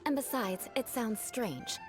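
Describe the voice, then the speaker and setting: Haughty young noble lady from a game, formal n teasing, lively pitch, faint music. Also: measured pace. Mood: instructive. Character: young noblewoman, composed, haughty, playfully vengeful. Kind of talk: video game voice acting.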